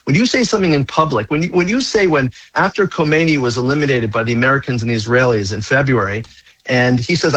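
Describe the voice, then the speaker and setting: A man's voice: mid-range voice, a bit bright, breathy edge, no room sound, poor audio. A man appearing as a rabbi guest on a talk radio show, speaking urgently and insistently, repeating his warnings.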